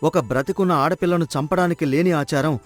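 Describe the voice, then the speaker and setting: A man playing a brave, indignant young hero who challenges others forcefully in a dramatized story. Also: a little high, brisk, faint music behind, lightly acted. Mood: challenging. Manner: forceful, questioning, righteous.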